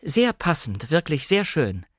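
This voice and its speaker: A man doing a timid, high-pitched young lady. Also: huge pitch swings, measured pace, slightly rough, no echo, clean studio sound, exaggerated voice. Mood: flustered, apologetic. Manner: hesitant, eager to please, apologetic. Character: timid, flustered, apologetic young lady of the house.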